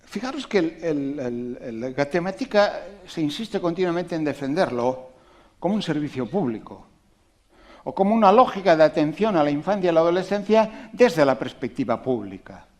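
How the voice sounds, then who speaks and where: A man giving a public talk to an audience on education, animated and emphatic, asking rhetorical questions and making conversational asides. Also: a little high, normal speed. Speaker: invited speaker on education.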